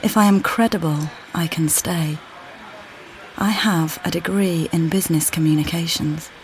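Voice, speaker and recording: An actress playing a weary, displaced mother who calmly guides the listener in a walking play, speaking plainly and directly to them. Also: low-pitched, moderate pace, a little hiss or hum, low-quality recording. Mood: reflective. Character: displaced mother, weary and uncertain, far from home. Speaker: audio guide narrator. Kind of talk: immersive walking-play narration.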